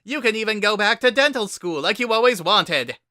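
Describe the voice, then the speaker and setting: Story reading: proud younger-brother skeleton, exuberant and emphatic, pitched high and very loud. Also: male voice, lively intonation, normal speed, no room sound, studio quality. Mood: proud, excited. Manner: emphatic, declamatory, earnest. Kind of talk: dramatic reading of a fan story.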